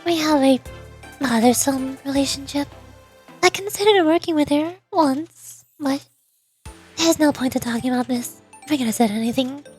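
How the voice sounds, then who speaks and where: A woman acts out a young female student president in a video game story. Her voice is high, with a composed, aloof and calculating air. She speaks formally and with measured authority over faint music. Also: darkish tone, close to an everyday voice.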